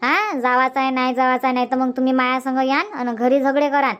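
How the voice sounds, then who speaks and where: High-voiced bossy village auntie played by a woman. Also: fairly even intonation, fairly quick, fairly bright tone, clean tone. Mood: bossy, advising. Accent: Varhadi Marathi. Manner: chatty, insistent, repeats herself. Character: bossy, sociable village auntie organizing her friends. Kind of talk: cartoon comedy dubbing.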